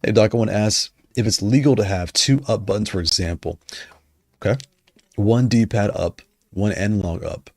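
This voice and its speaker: Deep-voiced guy streaming, rambly chat, kinda hoarse, dry close mic. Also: moderate pace, darkish tone, no room sound. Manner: rambling, self-correcting, conversational. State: losing his voice. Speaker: streamer discussing controllers. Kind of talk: casual livestream chat.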